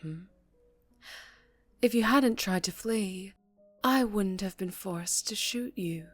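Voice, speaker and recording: A woman playing a dangerously charming female mafia boss in an ASMR roleplay, teasing and deliberate, often slipping into whispers. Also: medium pitch, no echo, faint music behind. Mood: probing, menacing.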